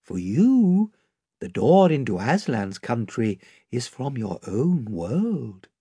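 Brief: a man doing a gentle lamb, sweet milky voice, raised and slow, breathy. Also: medium pitch, big pitch swings, dry recording, exaggerated voice. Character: gentle snowy male lamb.

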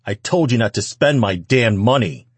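A bullying husband from an audiobook, acted out by a male reader, snarling and shouting with contempt. His voice is rough-edged and pushed well up in pitch and volume, with a close, dry sound. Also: expressive, normal speed, no echo. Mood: enraged, menacing.

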